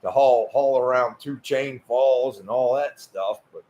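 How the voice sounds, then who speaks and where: A man's voice: mid-range voice, unhurried, mellow, muffled recording. A man in his fifties hosting a casual live chat about cars, easygoing, often stammering and laughing as he talks. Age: 50s. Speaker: host.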